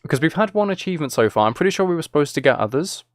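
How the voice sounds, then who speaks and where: A man's voice: a little high, animated, racing, no room sound. A man streaming a video game, giving casual commentary with dry, flippant, rambling asides.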